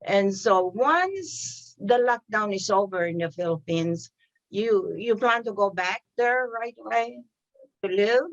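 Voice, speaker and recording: A middle-aged woman hosting an interview over a video call, forming her questions slowly with many pauses and fillers. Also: medium pitch, expressive, dry recording, muffled recording. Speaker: host. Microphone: video call webcam.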